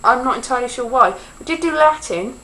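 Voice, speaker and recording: A woman's voice: high-pitched, animated, a little fast, sharp tone, low-quality recording. Rambling self-mocking woman vlogger. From Wales. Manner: self-mocking, wandering, jokey asides. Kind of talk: rambling casual monologue.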